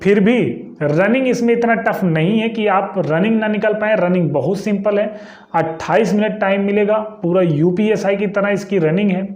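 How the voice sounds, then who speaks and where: A man's voice: high voice, darkish tone, lo-fi sound. A young man explains things informally to viewers, often adding short check-in tags as he goes. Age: young adult. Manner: conversational, frequently checking understanding with short tags. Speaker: YouTube exam-information presenter. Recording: echoey room sound.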